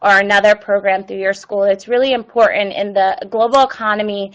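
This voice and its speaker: A woman's voice: medium pitch, fairly even intonation, a little fast, highs cut off. A woman presenting an online webinar and answering viewer questions, with many ums and uhs. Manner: reads viewer questions aloud.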